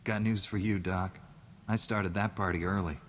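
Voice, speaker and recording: An actor playing a stern knight in a video game, answering with curt, dry comebacks. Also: male voice, deep voice, fairly even intonation, moderate pace, in a large hall, a little hiss or hum, lightly acted. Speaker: player character in a video game. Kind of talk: video game character dialogue.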